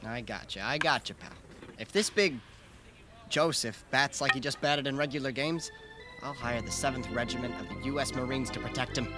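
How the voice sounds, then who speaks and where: A man playing a gruff, bossy baseball manager in a radio comedy, blunt and slangy. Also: medium pitch, normal speed, music in the background, natural voice. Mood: skeptical, brisk.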